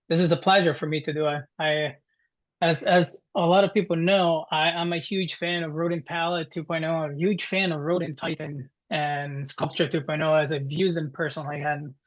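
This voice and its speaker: A man in his thirties with an Italian accent, presenting informally to a webinar in a halting, conversational way. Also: fairly high pitch, fairly even intonation. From Italy. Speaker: guest presenter, dental technician. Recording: dull, muffled webinar audio.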